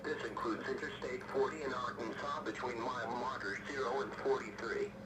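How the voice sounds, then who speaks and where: A synthetic male text-to-speech voice reads scripted emergency weather warnings as an automated radio announcer, formal, impersonal and stiffly measured. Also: medium pitch, steady tone, over a noisy crowd. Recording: noisy, staticky weather radio broadcast.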